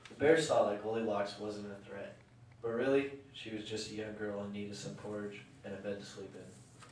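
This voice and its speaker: A man's voice: on the low side, little pitch movement, moderate pace. A man narrating a scripted storybook tale as part of a puppet show. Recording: very echoey room, distant, faint noise.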